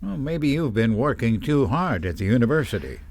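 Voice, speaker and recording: A man's voice: mid-range voice, big pitch swings, normal speed, darkish tone, no room sound. An actor playing a cautious, protective middle-aged father who hesitates and admonishes, in an old-time radio drama. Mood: soothing, probing.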